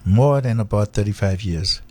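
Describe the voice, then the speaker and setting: Low-voiced interviewer from Haiti. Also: male voice, normal speed, darkish tone, no echo. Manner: attentive, frequent listening murmurs, polite. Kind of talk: interview questions.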